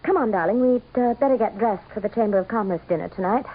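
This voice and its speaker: An actress playing a vain, cold, glamorous governor's wife in a radio drama. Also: female voice, medium pitch, fast talker, slightly rough, lightly acted. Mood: cool, coy. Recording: tinny, faintly noisy old radio recording.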